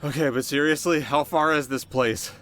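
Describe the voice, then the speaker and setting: A man's voice: a little high, steady tone, normal speed, a bit bright, natural voice. A man playing a cocky, teasing delinquent teenage boy in an ASMR roleplay, talking casually and wryly straight to the listener. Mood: teasing, skeptical. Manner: casual, wry, sarcastic, sometimes flustered. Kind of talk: ASMR audio roleplay, one-sided dialogue to listener. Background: music.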